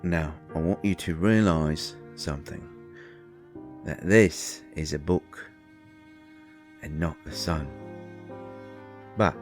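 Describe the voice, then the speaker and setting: Gruff folksy male character, acted by a man, bass-low and slow, stammering, for intimate roleplay audio drama. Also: soft background music. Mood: gentle. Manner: halting, stammering, folksy colloquial speech. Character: gruff, folksy, flustered man comforting a vampire guest.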